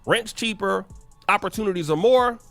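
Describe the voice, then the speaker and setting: A man narrating a scripted commentary video in a lively, emphatic explaining manner. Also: sits high, normal speed, creaky, dry recording, faint music behind.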